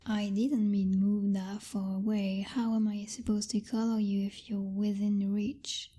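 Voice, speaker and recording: A woman playing a possessive, jealous vampire girlfriend who is commanding but secretly tender, in a soft, intimate ASMR whisper close to the microphone. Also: medium pitch, level tone, husky. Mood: grudgingly affectionate. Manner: slow, teasing, domineering, with lingering pauses and hums.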